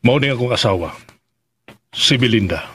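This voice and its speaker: An actor playing a gentle, grieving widowed master of the house in a Filipino radio drama, halting and sentimental, with a Visayan accent. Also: male voice, mid-range voice, lively intonation, noisy background, close to an everyday voice. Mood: wistful. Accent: Visayan Philippine.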